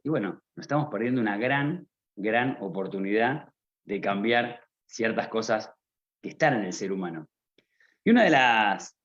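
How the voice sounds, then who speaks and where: A man from Argentina with an Argentine accent, giving a motivational business talk at a conference, often asking the audience rhetorical questions. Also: medium pitch. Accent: Argentine Spanish. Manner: rhetorical questions, addresses listener directly. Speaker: conference speaker. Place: conference stage. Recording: slightly dull lo-fi sound.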